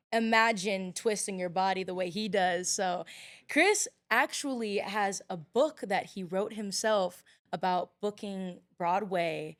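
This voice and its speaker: Woman hosting a chatty interview, really encouraging and gushy, medium pitched voice. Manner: effusive, encouraging, with frequent interjections. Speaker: talk show host and interviewer. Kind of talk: casual chat, interviewing guests.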